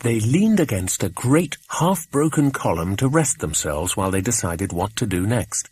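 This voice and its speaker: A man reading a children's adventure audiobook, an expressive scripted narration. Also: fairly low pitch, normal speed, slightly rough.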